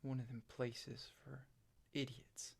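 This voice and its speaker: A man performs a self-doubting country farm boy in a stage drama. He speaks haltingly and plainly to a friend, like someone haunted and unsure of himself. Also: medium pitch, level tone, creaky, a little mellow. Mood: dejected. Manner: halting, hesitant, plain-spoken. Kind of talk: stage play acting.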